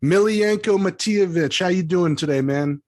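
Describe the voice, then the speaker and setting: A man's voice: high voice, moderate pace, a little mellow. A man hosting a music show, speaking in an upbeat, promotional way as he introduces and interviews guests. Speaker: podcast host and interviewer. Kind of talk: enthusiastic scripted show intro and casual interview chat.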